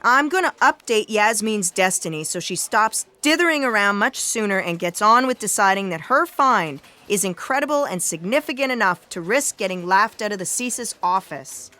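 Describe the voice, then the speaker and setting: An impatient, commanding female boss with a bright, forceful voice, played by an actress. Her pitch swings widely as she orders people around in theatrical sci-fi audio drama style. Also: expressive, normal speed, hardly any pauses. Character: bossy, impatient female chief editor of destiny.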